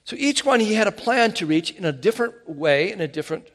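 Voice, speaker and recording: A man preaching a sermon in an animated, emphatic way, often restarting his phrases. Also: sits high, fast talker. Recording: hall reverb.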